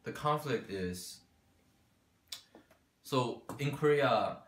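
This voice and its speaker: A man's voice: medium pitch, expressive, drawn-out words, a bit bright, slight background noise. A young man born in the United States, raised partly abroad, talking earnestly about his life and beliefs. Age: young adult. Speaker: interviewee. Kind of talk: personal account. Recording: echoey room.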